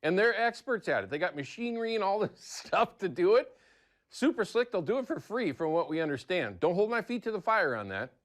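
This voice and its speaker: A man's voice: sits high, big pitch swings, brisk. An American man hosting a casual how-to TV segment in a chatty, folksy, conversational way. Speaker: TV show host.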